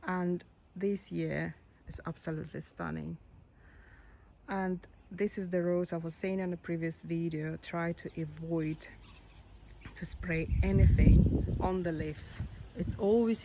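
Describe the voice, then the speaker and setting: Slow, hoarse, gravelly woman touring her garden. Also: fairly low pitch, warm tone, a little hiss or hum. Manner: slow, admiring, frequent throat clearing. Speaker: garden vlogger. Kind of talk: casual garden tour. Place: outdoor rose garden.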